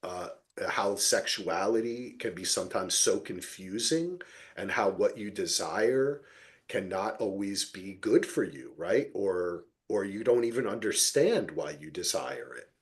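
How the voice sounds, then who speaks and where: A man hosting a podcast, chatting casually about television in a rambling, animated way and swearing freely. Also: sits low, unhurried. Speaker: podcast host. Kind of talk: casual TV recap discussion.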